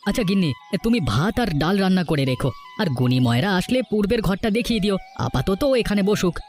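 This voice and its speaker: Man voicing a high-pitched, earnest village husband. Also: big pitch swings, fast, a little mellow, faint music behind. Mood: everyday, eager. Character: anxious, humble village husband, stubborn about his home. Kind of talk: Bengali cartoon folktale voice acting.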